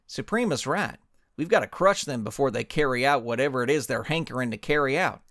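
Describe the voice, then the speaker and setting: Male narrator as cowboy superhero, folksy drawl, tough, lively pitch, dry recording. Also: fairly quick, no room sound. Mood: determined.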